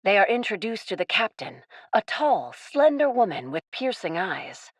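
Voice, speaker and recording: A woman's voice: mid-range voice, sing-song, moderate pace, no echo. A woman reading scripted, dramatic story narration as the narrator of a radio play.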